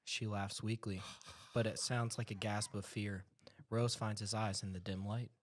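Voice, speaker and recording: A man's voice: fairly low pitch, flat delivery, moderate pace, slight background noise. A man in his twenties reading screenplay directions aloud as narrator, dramatic with an amused edge. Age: 20s.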